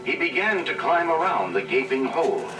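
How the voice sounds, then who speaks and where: A man's voice: on the high side, big pitch swings, normal speed. A man narrating a children's story in a dramatic, scripted read-along style. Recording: distant old record, loud music.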